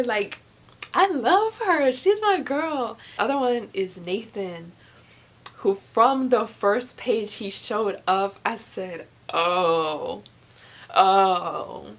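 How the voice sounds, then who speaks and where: A young woman chatting casually as a vlogger, gushing and emphatic, often repeating words for emphasis. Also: a little high. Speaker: book reviewer vlogger. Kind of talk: casual chat to camera.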